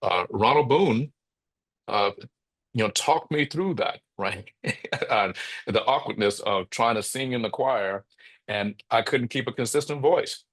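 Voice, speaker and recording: A middle-aged man with a bass voice, speaking reflectively as an interview guest, often checking in with "right?" and restarting his phrases. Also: a little slow, a bit bright. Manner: thoughtful, frequent "right?" tags and restarts. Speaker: podcast guest.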